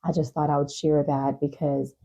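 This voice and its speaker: A woman hosting a podcast interview, speaking in an earnest, measured, reflective way with frequent pauses. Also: low voice, flat delivery, warm tone, husky, rough recording. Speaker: talk show host and advocate.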